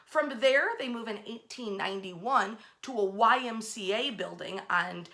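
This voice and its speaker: A woman's voice: mid-range voice, measured pace, pushed and bright. An American woman telling local history as an informal talk, with an emphatic, enthusiastic delivery. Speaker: history storyteller and host.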